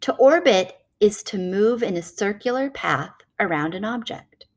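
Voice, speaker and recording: A woman narrates a children's nonfiction book aloud. Her voice sits at a medium pitch, and she reads in a measured, explanatory manner suited to young listeners. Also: lively intonation.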